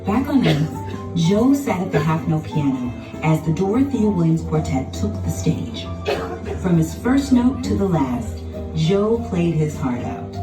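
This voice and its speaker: A woman narrating a children's storybook in an expressive, dramatic scripted style. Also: on the low side, unhurried. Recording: roomy, distant sound with music behind.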